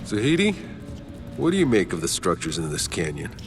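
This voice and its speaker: An actor playing a gruff soldier exploring an alien world in a video game cutscene. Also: male voice, sits low, huge pitch swings, brisk, heavily acted. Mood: inquiring. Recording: dramatic music behind the voice.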